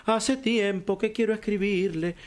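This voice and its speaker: High-voiced older man. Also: steady tone, a little slow. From Cuyo, Argentina. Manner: warm storytelling, addresses listener as compadre. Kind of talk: reflective spoken radio column.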